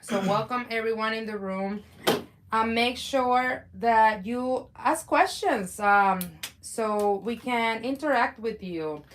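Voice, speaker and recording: A woman's voice: a little high, slow pace. A woman co-owner hosting a live bonsai stream, chatting cheerfully with viewers. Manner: upbeat, chatty, addresses viewers.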